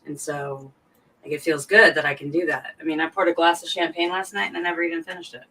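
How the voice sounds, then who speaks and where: A woman's voice: low voice, steady tone, fast, bright, forceful tone, breathy edge, low-quality recording. A woman chatting casually and personally as a guest on a live stream.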